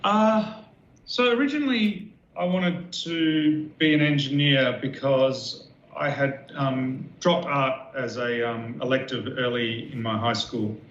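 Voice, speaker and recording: A man's voice: mid-range voice, slow pace. A man, a guest architect talking casually with lots of fillers and repeats. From Western Australia. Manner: relaxed, many fillers and repeats. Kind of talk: casual interview talk. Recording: roomy, dull lo-fi sound with faint noise.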